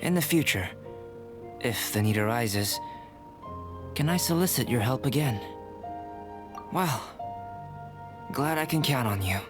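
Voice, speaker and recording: A man playing a calm young alchemist scholar in an anime-style video game, speaking in a measured, formal, reflective way. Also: mid-range voice, over music, lightly acted. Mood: grateful.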